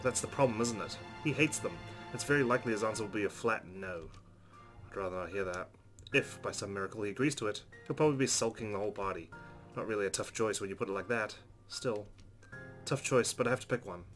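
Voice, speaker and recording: Fast-talking man reading game narration. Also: fairly low pitch, fairly bright tone, soft background music. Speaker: let's play host. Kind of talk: reading game narration aloud with casual commentary.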